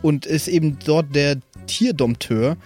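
Slightly higher-voiced man chatting over music. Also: measured pace, darkish tone. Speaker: podcast co-host and tabletop role-player. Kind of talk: casual chat about creating game characters. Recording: music playing behind. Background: background music.

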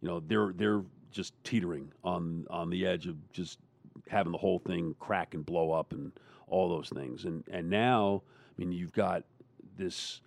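A man in a casual sports roundtable, talking as a panelist in a halting, rambling way. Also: sits low, vocal fry, a little mellow, no room sound.

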